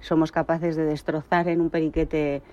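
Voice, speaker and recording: A woman speaking as a panel guest, in measured, halting phrases. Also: deep voice, level tone, dark tone, dull audio. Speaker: financial analyst, panel guest. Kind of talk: unscripted market commentary.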